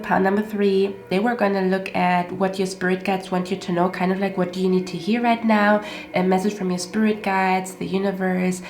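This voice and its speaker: A woman's voice: medium pitch, a little mellow. A woman with a stuffy, congested nose giving a casual tarot card reading, repeating herself and often checking in with "okay?". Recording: roomy echo with faint music.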